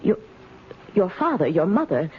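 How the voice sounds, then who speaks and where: Flustered old spinster, animated pitch. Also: female voice, normal speed. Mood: hesitant, flustered. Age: older. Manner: hesitant, faltering, querulous. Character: timid, flustered old spinster, stubbornly possessive. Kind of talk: 1970s radio drama acting. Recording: muffled, hissy 1970s radio recording.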